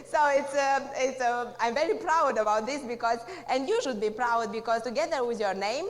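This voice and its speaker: Romanian-accented woman speaking in a big hall. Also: a little high. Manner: halting, many fillers and restarts, pausing for translation. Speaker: guest speaker at a business seminar. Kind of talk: speech delivered through a live interpreter. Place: large hall. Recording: long reverb of a large hall.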